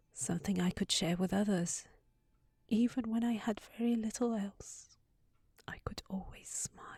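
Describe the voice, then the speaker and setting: A tender young housekeeper from a fairytale, acted by a woman, speaks slowly in a close, dry recording. She is sensible but fretful and lovelorn, rambling intimately as in a fantasy audio drama. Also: mid-range voice, no room sound. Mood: wistful, hushed. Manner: tender, fretful rambling with sighs and hushed asides.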